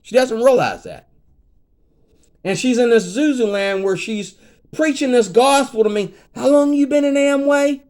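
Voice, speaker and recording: Fifties guy with a high voice ranting on his vlog, rambles, gets worked up. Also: measured pace, a little mellow. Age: 50s. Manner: rambling, repeats "you know what I mean". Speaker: vlogger. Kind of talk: casual vlog rant.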